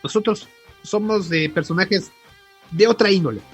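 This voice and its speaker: A man's voice: sits high, normal speed, slightly rough. A man with a Mexican accent co-hosting a podcast in casual, slangy chat, emphatic and repeating words for stress. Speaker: podcast co-host. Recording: music behind the voice.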